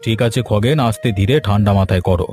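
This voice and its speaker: A man voicing a calm, generous and trusting village headman in a cartoon fairy tale, speaking gently and with measured approval. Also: on the low side, natural voice. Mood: trusting, grateful. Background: background music.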